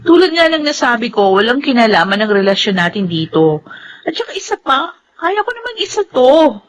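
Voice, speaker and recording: A woman's voice: on the high side, sing-song, a little fast. An actress playing a stubborn, scheming young woman in a Filipino radio drama. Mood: defensive, pleading.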